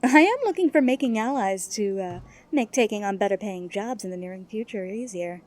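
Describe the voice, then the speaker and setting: A woman playing a confident, quick-witted mercenary in a fantasy audio drama, polite with a sardonic streak. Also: medium pitch, expressive, darkish tone, close to an everyday voice. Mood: composed.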